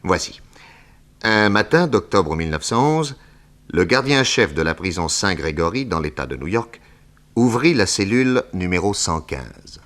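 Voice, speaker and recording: A man narrating a scripted 1960s French radio drama in a measured storytelling manner. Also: low voice, very animated, a bit bright, a little hiss or hum, exaggerated voice.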